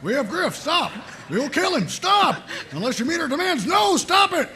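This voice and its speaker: A man playing a gruff, bossy, callous military sergeant in a comedic table read, with a gravelly, blustering, emphatic delivery. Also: very high voice, sing-song, normal speed, pushed and bright, heavily acted. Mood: indignant reading aloud.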